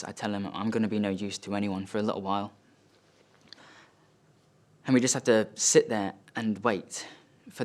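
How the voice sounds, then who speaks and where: A male actor plays a wealthy son, wounded and bitter, in a dramatic stage monologue. His voice sits on the low side. He tells his story in short, emphatic phrases with halting breaks between them. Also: natural voice. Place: studio.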